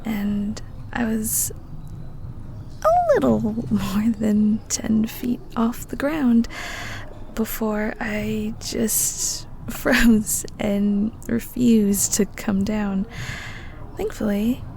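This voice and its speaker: Woman acting as bashful best friend, young, giggly, awkward, creaky voice, faint background noise, audio roleplay. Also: a little high, expressive, very slow, warm tone, full character voice, rough recording. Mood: bashful, proud.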